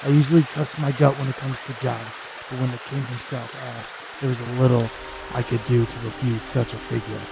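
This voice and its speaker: An actor playing a gruff, candid warrior adventurer in a fantasy audio drama, grave and plain-spoken. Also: male voice, medium pitch, little pitch movement, brisk, background noise, close to an everyday voice. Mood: brooding.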